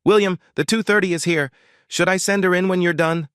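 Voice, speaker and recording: A man puts on a young female office colleague in an audio drama. She is brisk, pitched clearly higher, in a dry recording. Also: a bit bright, dry recording.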